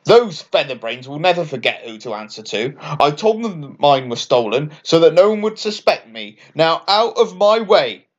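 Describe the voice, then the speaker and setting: A man's voice: a little high, big pitch swings, normal speed, hardly any pauses, muffled recording. A man voicing a nasty, defiant thieving seagull in a children's bedtime story, brazen and scornful.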